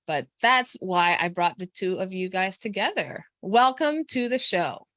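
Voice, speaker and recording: A woman's voice: mid-range voice, lively intonation, a little slow, a bit bright, no room sound, studio quality. A woman hosting a podcast, chatting casually and encouragingly with her guests, laughing often. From Texas, USA. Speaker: podcast host and coach. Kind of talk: casual interview chat.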